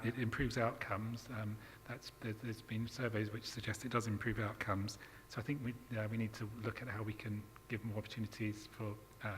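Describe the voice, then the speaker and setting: A man's voice: fairly low pitch, a bit bright, long reverb tail, a little hiss or hum. A man answering questions on a panel, hesitant with frequent fillers.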